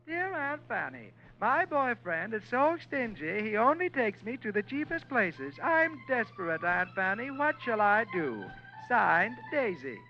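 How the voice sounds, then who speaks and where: Prim comic advice lady, played by a man, very lively intonation, slow sweet delivery, dull old radio sound. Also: high voice, pitched above the performer's own voice, full character voice. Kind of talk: 1950s radio comic-strip reading. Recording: muffled old radio recording.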